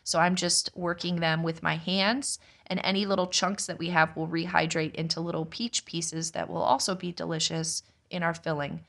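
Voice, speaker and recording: A young woman narrates her homestead kitchen projects in a relaxed, chatty voice-over. Her voice sits a little low for a woman, and she explains each step plainly, like a busy mom talking to friends. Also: normal speed, gravelly, hardly any pauses. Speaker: homestead vlogger, mother. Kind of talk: casual cooking vlog voice-over.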